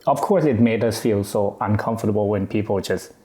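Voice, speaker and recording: A man's voice: fairly low pitch, a little fast, warm tone, reverberant. A man from Thailand whose first language is Thai, co-hosting a casual podcast and speaking frankly and emphatically. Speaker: podcast co-host.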